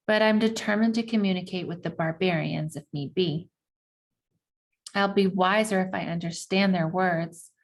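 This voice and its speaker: A woman reads a children's diary-style novel aloud in the first person. Her voice sits at a middle pitch, and her delivery is expressive. Now and then she breaks off to explain something to her listeners.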